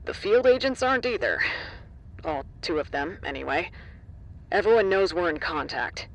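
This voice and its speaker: A man with a notably high voice plays a brisk facility staffer in an audio drama. He talks in short, blunt, defiant bursts, conversational and to the point. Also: lively intonation, gravelly, a bit bright, full character voice, rough recording. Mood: urgent, conspiratorial.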